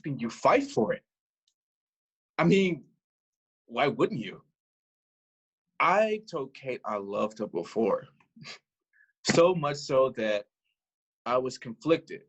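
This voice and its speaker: An actor playing a self-deprecating young romantic in a stage monologue, speaking in an earnest, halting, rambling way. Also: male voice, medium pitch. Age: young adult. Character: lovelorn, self-deprecating romantic young man, stubborn dreamer. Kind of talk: theatrical monologue to the audience.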